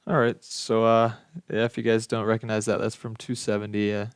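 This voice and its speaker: A young adult man giving a casual software demonstration as a student, informal, offhand and a little tentative. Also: fairly low pitch, completely flat delivery, fairly quick, smooth, no echo, clean studio sound. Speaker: student presenting a final project.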